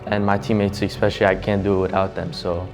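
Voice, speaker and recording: Teen boy footballer, very low voice, monotone, music under him. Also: fast. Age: teens. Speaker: youth soccer player. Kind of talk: interview answers.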